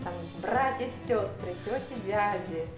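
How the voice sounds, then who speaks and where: A woman's voice: mid-range voice, a little slow. A woman hosting a TV cooking show, asking friendly, teasing questions while she cooks. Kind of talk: casual interview while cooking. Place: TV studio kitchen. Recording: echoey studio. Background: music, sizzling pan.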